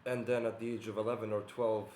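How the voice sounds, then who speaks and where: A man from Bosnia, his tongue, giving an earnest, measured monologue with many pauses and fillers. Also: lowish voice, little pitch movement, darkish tone, echoey room, a little hiss or hum. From Bosnia and Herzegovina. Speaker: video commentator. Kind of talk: monologue to camera.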